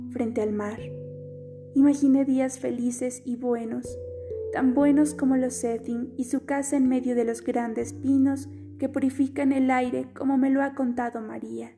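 A woman with a dark, mellow voice, pitched a little high, reads a letter aloud slowly and wistfully. Quiet guitar plays faintly behind her as she narrates. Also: fairly even intonation. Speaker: reader of a literary letter. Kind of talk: scripted audiobook reading.

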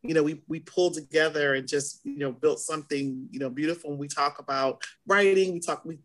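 A hoarse middle-aged woman co-hosting a podcast in casual chat, animated as she acts out her own past reactions. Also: low voice, a little fast, low-quality recording. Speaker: podcast co-host.

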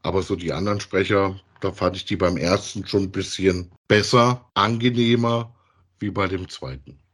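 A man, chatting casually as a podcast co-host, thinking aloud haltingly and repeating himself. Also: sits low, steady tone, fairly bright tone. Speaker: podcast co-host reviewing audio dramas. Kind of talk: casual chat, reviewing audio plays.

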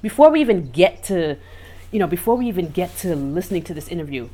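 A woman's voice: fairly low pitch, animated, fast, dark tone. A woman co-hosting a casual podcast, telling stories in a chatty way with emphatic asides. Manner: conversational storytelling, emphatic asides. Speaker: podcast co-host.

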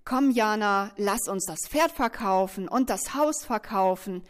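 A woman's voice: medium pitch, animated, measured pace. A woman who belongs to a women's music group, speaking in a friendly, inviting way. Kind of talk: casual invitation.

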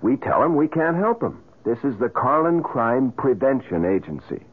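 A man's voice: mid-range voice, sing-song, moderate pace, husky. An American actor playing a brash, greedy man running a shady agency in a radio drama, glib and persuasive. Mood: defensive, glib. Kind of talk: old-time radio drama acting. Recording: narrow, muffled old radio broadcast.

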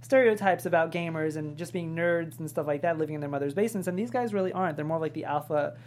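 A woman's voice: low voice, quick pace, a little mellow. A woman on a panel giving comments and questions in a casual discussion style. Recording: noisy room with hum.

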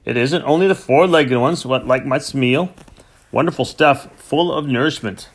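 A man voicing a proud, placid knacker, making slow, self-satisfied pronouncements. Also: medium pitch, fairly bright tone, lightly acted.

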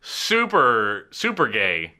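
A man's voice: mid-range voice, huge pitch swings, slow, sharp tone. A man co-hosting a podcast, chatting casually about films in a jokey, slangy way and chiming in often. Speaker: podcast co-host. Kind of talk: casual comedic film chat.

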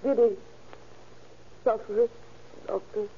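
An actress playing a fragile, grieving mother in a 1930s radio drama, halting and tearful. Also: a little high, steady tone, a little hiss or hum. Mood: dazed, sorrowful. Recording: muffled old radio recording.

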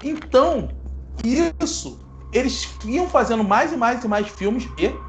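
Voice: medium pitch, animated, a little slow. A host, explaining film history in a casual, playful way to the audience. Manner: playful, self-mocking asides.

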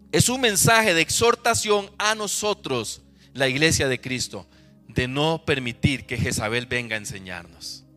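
Bright-voiced Costa Rican pastor preaching. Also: male voice, a little high. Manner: emphatic preaching with repeated rhetorical questions to the congregation. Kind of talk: sermon.

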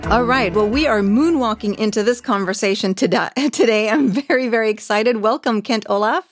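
A woman's voice: a little high, normal speed, soft background music. A woman hosting an interview, talking eagerly and chattily to her guest. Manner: enthusiastic, chatty. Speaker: host. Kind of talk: casual interview.

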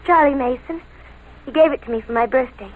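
High-pitched, girlish American teenager. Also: brisk, husky, narrow, tinny audio. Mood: dreamy, gushing. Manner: girlish, naive, gushing. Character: cute, guarded, gushing seventeen-year-old American schoolgirl. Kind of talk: old-time radio drama acting.

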